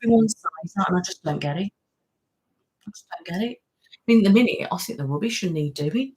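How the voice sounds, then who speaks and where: A woman's voice: fairly low pitch, expressive, fairly quick, darkish tone, rough recording. A woman hosting a casual live stream from home, chatting on at length and answering viewers' comments. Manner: rambling, chatty, waffles on. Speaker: live-streaming channel host.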